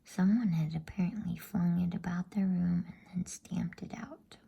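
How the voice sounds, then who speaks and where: A woman reading a bedtime story in a hushed, breathy ASMR whisper with a soothing delivery. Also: lowish voice, fairly even intonation, moderate pace.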